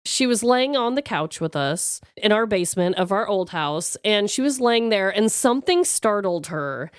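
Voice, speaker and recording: A woman with a slightly high voice that swoops with animation. She chats casually as a podcast co-host, expressive and giggly, free with swearing. The sound is dry and close. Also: clear, resonant tone, no echo. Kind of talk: casual chat, animated personal storytelling.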